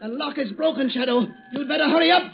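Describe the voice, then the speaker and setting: An actor playing a vengeful, gloating maniac poisoner in an old radio thriller, cackling and taunting. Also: male voice, extremely high pitch, fast talker, creaky, slight background noise. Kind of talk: 1930s-40s radio drama acting. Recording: muffled old radio recording.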